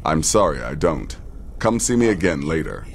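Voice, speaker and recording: An actor playing a sardonic male assassin in a video game, dry and mocking, with a gravelly, deliberate delivery. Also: very deep voice, very animated, a little hiss or hum, exaggerated voice. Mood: matter-of-fact advice. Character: sardonic hooded male assassin handing out contracts.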